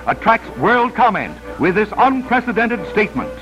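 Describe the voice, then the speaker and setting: A man's voice: high voice, sing-song, moderate pace, rough recording. A man narrating a 1930s newsreel in a dramatic, solemn, declamatory style on a vintage soundtrack. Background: newsreel music.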